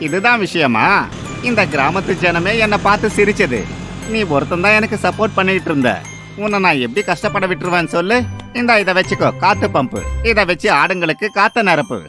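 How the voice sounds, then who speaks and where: A man voicing a kindly village magician, confident and reassuring, in an animated moral story. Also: high-pitched, brisk, music in the background, voice pushed up.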